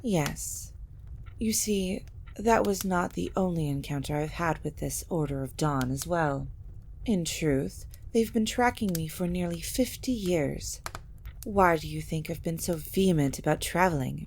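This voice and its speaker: Woman acting a low-voiced, formal vampire matriarch. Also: vocal fry, slight background noise. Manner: measured, formal, theatrically grave delivery. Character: ancient vampire matriarch, scheming scholarly mother of grown children. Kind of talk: scripted audio drama acting.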